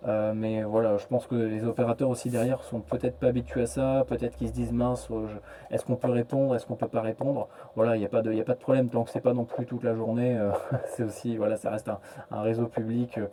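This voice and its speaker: A man chatting casually as a radio operator, halting and often repeating his words. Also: medium pitch, level tone, warm tone, slight background noise. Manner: hesitant, repeats words, trailing "hein" and "voilà". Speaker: amateur radio operator, relay network host. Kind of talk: casual radio contact chat.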